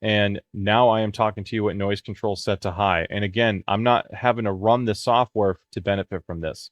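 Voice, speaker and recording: A man's voice: medium pitch, dry recording, studio quality. A man casually explaining a headset's features on the headset's own mic, with occasional filler words. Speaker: tech reviewer. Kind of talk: product review walkthrough. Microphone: headset microphone under test.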